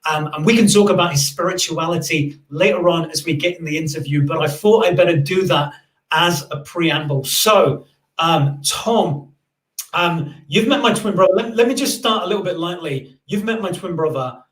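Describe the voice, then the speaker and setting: A man hosts a live-streamed interview in a loose, chatty way, full of "uh"s and restarts. His voice sits high for a man. The room around him rings with echo. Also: moderate pace, a bit bright. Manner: chatty, many fillers and restarts. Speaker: podcast host.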